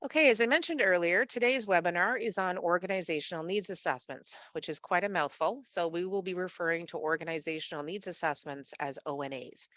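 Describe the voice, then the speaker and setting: A woman with a slightly low, nasal voice, stuffy from a cold, hosts an online training webinar. She reads in a measured, steady way with the odd filler. The sound is dry and close, from a computer mic on a video call. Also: no room sound. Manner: measured, read-aloud delivery with occasional fillers. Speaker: webinar host, executive director of a literacy network. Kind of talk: scripted webinar presentation.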